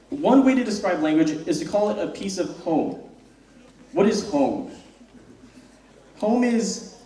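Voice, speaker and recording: A man's voice: a little high, warm tone. Young man on stage, wry and self-mocking with hesitant restarts, in a roomy hall. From born in South Korea, raised in the US. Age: young adult. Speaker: student speaker. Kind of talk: personal spoken-word talk on stage. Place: stage in a hall with a live audience. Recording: roomy hall, mic from far off.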